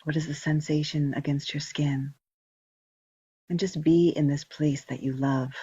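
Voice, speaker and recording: A woman leading a guided meditation, giving slow, gentle instructions with long pauses in a soft, breathy voice. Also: deep voice, fairly even intonation, no echo. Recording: dull, muffled lo-fi recording.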